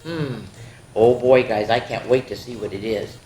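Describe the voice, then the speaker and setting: A woman's voice: extremely low pitch, moderate pace, dark tone. A woman hosting a children's story time, reading aloud dramatically and chatting directly to young listeners with lots of exclamations. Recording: roomy echo, faint background noise.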